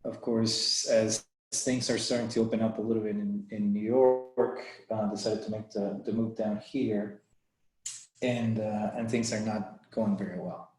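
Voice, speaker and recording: A man presents over a video call in a friendly, conversational way. His voice sits a little low, and he often restarts his phrases. The sound carries a noticeable room echo. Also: steady tone, unhurried, darkish tone. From Costa Rica. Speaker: guest presenter. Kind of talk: informal webinar talk. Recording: echoey room.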